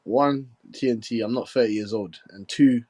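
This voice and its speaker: A man in his twenties co-hosting a casual boxing chat. He argues his points quickly and keeps asking if you get him. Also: mid-range voice, darkish tone, no echo. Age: 20s. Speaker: boxing podcast co-host.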